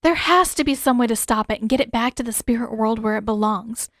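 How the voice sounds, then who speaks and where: A teenage girl of the storybook heroine kind, played by a woman reader, pitched high and close in a dry recording. She is earnest and easily flustered, pushing questions out with impatient urgency. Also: a little fast, no echo, close to an everyday voice. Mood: urgent.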